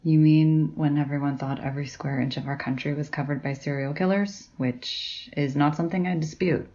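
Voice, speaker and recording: A bossy, sardonic female librarian played by a woman with a low voice and gruff, commanding delivery. It is an audio drama with slightly muffled sound. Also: steady tone, normal speed, warm tone.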